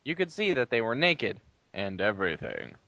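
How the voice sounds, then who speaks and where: A young man with a mid-pitched, very lively voice reads aloud theatrically, dropping in dry asides. The sound is close and dry but dull and lo-fi. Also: moderate pace, no echo. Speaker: player in a comedic dramatic reading game.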